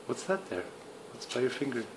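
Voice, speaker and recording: A man chatting casually as a father with a toddler, gently prompting with questions. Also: medium pitch, very animated, a little fast. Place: tiled living room at home. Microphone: handheld phone, behind the camera. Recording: noisy home phone video.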